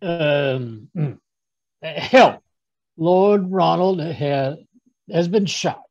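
A man's voice: a little high, animated, extremely slow, darkish tone, muffled recording, exaggerated voice. A man playing a flustered, bumbling doctor who stammers his way through an old-style radio play. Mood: stiffly dramatic. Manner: hesitant, stammering. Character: flustered, bumbling male doctor, a detective's companion. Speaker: actor. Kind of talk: 1930s radio acting.

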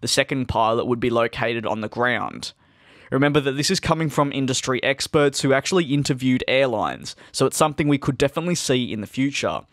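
A man hosting an aviation video channel, reading a scripted news update in a steady, measured way. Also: medium pitch, no room sound, studio quality. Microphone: close studio voice-over.

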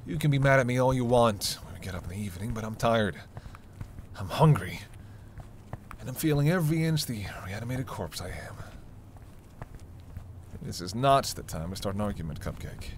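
A man's voice: mid-range voice, lively intonation, moderate pace, long pauses, natural voice. A man playing a weary, flirtatious vampire with teasing, sarcastic banter in an intimate roleplay audio drama. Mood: tired, exasperated. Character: sardonic, flirtatious male vampire, weary and hungry. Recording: noisy, ambient sound effects behind voice.

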